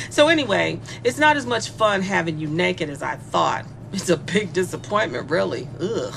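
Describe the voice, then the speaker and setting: Taunting sadistic female killer, swooping and creaky. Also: on the low side. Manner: mocking, flirtatious, toying with the captive. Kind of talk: dark comic horror audio drama monologue. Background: music.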